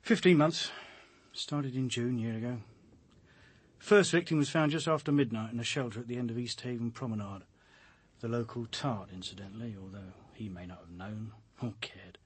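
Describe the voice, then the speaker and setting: An actor with a British accent playing a down-to-earth, blunt police detective in a radio drama, colloquial and matter-of-fact. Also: male voice, medium pitch, moderate pace, breathy edge, dry recording, natural voice. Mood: matter-of-fact, grim.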